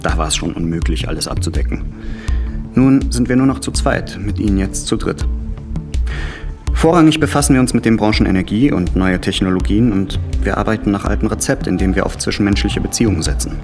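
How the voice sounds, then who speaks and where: A man's voice: lowish voice, brisk, over music, close to an everyday voice. An actor playing a male police captain, giving a matter-of-fact, explanatory briefing in a radio drama. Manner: businesslike, explanatory.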